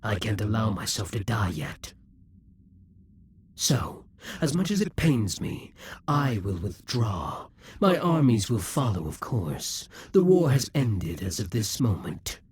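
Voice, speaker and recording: Guy doing a vengeful demon king for audio roleplay, super husky, takes his time, taunting and grandiose. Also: lowish voice, big pitch swings, darkish tone, full character voice. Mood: grudging resignation. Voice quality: husky, breathy, often dropping into whispers. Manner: grandiose, menacing, deliberate dramatic delivery. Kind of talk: scripted audio roleplay monologue.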